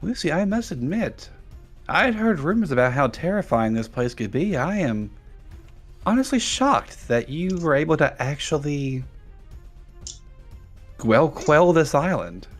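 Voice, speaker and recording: A man voicing a poised, wary noblewoman with formal, measured phrasing in a tabletop game. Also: a little high, very animated, soft background music, pitched above the performer's own voice. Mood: poised, courteous. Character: poised, wary visiting noblewoman, shocked and probing.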